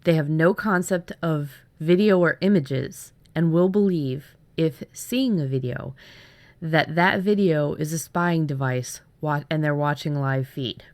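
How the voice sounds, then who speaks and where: A woman's voice: low-pitched, a little slow, a little mellow. A woman co-hosting a writing workshop, casually chatting as she shares her story ideas. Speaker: writer and workshop co-host.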